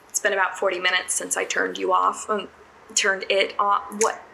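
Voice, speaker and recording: A woman playing an anxious, self-doubting young psychiatry intern in an audio drama, halting and flustered as she speaks. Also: mid-range voice, level tone, vocal fry, pushed and bright, slight background noise, poor audio. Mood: nervous, puzzled. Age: young adult. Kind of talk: naturalistic audio drama acting.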